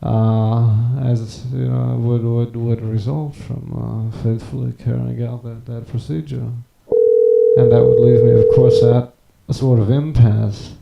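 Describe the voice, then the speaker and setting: A man rambling on a live stream, stammering and halting, with wordy, formal phrasing. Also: mid-range voice, flat delivery, creaky, reverberant, dull audio, very noisy. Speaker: prank call stream host.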